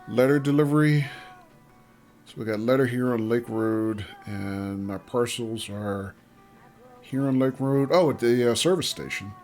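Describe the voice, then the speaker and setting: A man streaming a game live, chatting casually with viewers, reading out their messages and greeting them by name. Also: mid-range voice. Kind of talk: casual livestream chat while playing.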